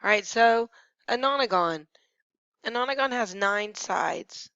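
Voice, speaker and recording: A woman math teacher who has nearly lost her voice, hoarse and at times whispering, patiently talking through each step of a screencast lesson. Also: mid-range voice, lively intonation, unhurried, sharp tone, no echo. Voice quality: hoarse, gravelly, sometimes dropping to a whisper. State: losing her voice. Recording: dry, dull lo-fi screencast audio.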